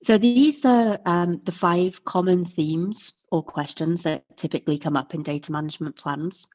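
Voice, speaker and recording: Low-voiced woman giving a webinar lecture. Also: moderate pace, darkish tone, dry recording. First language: English. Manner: friendly, explains step by step. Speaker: webinar presenter. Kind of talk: explanatory online lecture. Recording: dull lo-fi call audio.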